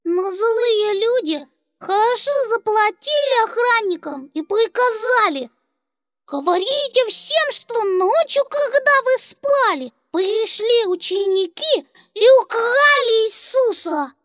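A woman voicing a gentle childlike angel puppet who tells Bible stories to children in a deliberate, expressive, instructive way. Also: very high-pitched, no room sound, clean studio sound, heavily acted. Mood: earnest storytelling. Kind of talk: children's storytelling.

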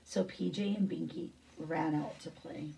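A woman's voice: deep voice, steady tone, measured pace, vocal fry, dark tone, recorded from a distance, slight background noise, low-quality recording. A middle-aged woman reading a picture book aloud as a teacher, with brief asides.

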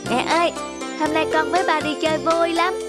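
A woman voicing a cheerful, excitable little boy in a cartoon, bubbly and childlike. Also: high voice, fairly quick, close to an everyday voice. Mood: excited. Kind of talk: cartoon dubbing. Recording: clear music behind the voice.

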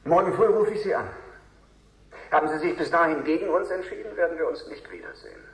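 A man acting as a calculating corporate envoy in a German radio play, speaking with smooth, insinuating deliberation. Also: on the high side, animated, fast talker, echoey room, poor audio. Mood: politely menacing. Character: calculating corporate envoy offering a sinister bribe.

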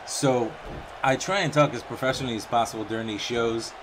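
A young man doing play-by-play commentary over a wrestling video game, chatty and casual between calls and getting excited on the big moves. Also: mid-range voice, a little fast, background noise. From Puerto Rican background. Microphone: home microphone, voice-over on game footage.

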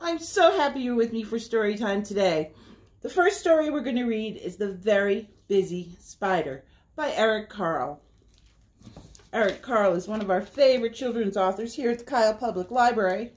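A middle-aged woman hosting a children's story time, reading aloud in a deliberate, sing-song way. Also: mid-range voice, darkish tone. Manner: slow, deliberate, sing-song repetition for young listeners. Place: small indoor room by a window.

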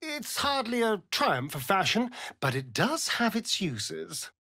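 A man's voice: high-pitched, sing-song, slow, gravelly, sharp tone, no echo, clean studio sound, exaggerated voice. A man playing a sly, vain, sardonic vampire rogue in a fantasy video game, with an arch, theatrical drawl. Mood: mocking.

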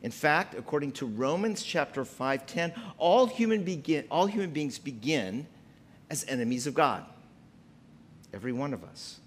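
Animated middle-aged preacher at the pulpit. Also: male voice, normal speed, a little hiss or hum. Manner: preaching with wide pitch swings, emphatic and gesturing. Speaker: pastor. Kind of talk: sermon. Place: church sanctuary at a pulpit, indoors.

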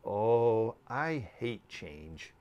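Man voicing a cheeky, animated young female bee. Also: lowish voice, very slow, clean tone, highs cut off, heavily acted. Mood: grumbling. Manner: teasing, sarcastic, exclaiming. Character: young female honeybee, cheeky, skeptical, averse to change.

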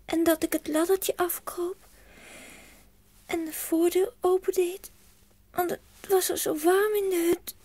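A homesick little girl in a children's radio play. The voice is extremely high and childlike, slow and plaintive, in a dry recording. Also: fairly even intonation, darkish tone, no room sound. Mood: homesick, wistful.

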